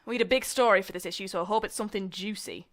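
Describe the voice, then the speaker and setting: A woman's voice: medium pitch, racing, close to an everyday voice. A young woman acting as a university student in a comedic audio drama. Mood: polite, businesslike. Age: young adult. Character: young female student journalists and theatre director sparring.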